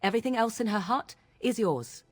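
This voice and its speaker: An actress voicing a guarded, imperious young witch in a fantasy video game, speaking formally and with measured phrasing. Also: a little high, lively intonation, soft background music. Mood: cool, resolute. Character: guarded, imperious young witch plotting against her mother.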